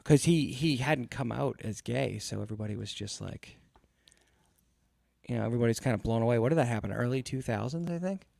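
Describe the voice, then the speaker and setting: A man's voice: mid-range voice, a little fast, vocal fry, dark tone, no echo, clean studio sound. A man appearing as a guest on a podcast live stream, chatting casually with crude, self-mocking jokes.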